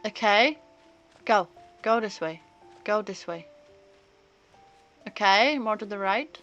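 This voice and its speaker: A woman's voice: medium pitch, expressive, takes it slowly, a bit bright, dull audio, faint music behind. A woman doing casual live gameplay commentary, chatting and thinking aloud as she streams. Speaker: game streamer.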